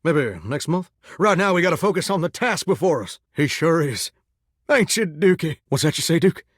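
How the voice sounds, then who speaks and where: Living shower with country-singer dreams, American Southern drawl, high swooping delivery, folksy, earnest, flirty, video game character, dry studio sound. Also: male voice, animated, normal speed, slightly rough, dry recording. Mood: earnest, sentimental.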